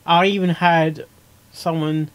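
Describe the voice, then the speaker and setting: A man's voice: fairly high pitch, fairly even intonation, takes it slowly, a bit bright. A man hosting a casual reaction video, talking in a rambling, repetitive way. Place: small cluttered room indoors.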